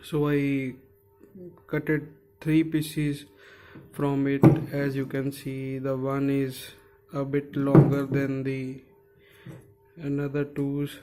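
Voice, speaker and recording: Slow, halting man. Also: mid-range voice, completely flat delivery, over loud music. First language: Punjabi. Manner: hesitant, searching for words, many fillers. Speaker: DIY maker. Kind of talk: casual how-to narration.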